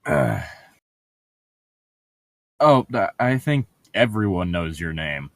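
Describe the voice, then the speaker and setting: A man's voice: low voice, sing-song, slow, fairly bright tone, dry recording. A man co-hosting a comedic read-along, reading a book aloud with casual, irreverent, sweary banter.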